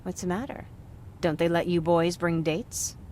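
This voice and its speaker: A woman's voice: fairly low pitch, little pitch movement, moderate pace, mellow, a little hiss or hum, lightly acted. A woman playing a fiery, defiant civilian scavenger in a video game, blunt and emotional. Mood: wry, determined. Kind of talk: video game dramatic acting.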